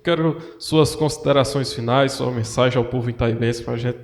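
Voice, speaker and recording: A man's voice: mid-range voice, fairly even intonation, normal speed. A man hosting a podcast in a formal, courteous manner, thanking his guest and closing with a blessing. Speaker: podcast host. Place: council building room. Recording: echoey room with long hall reverb.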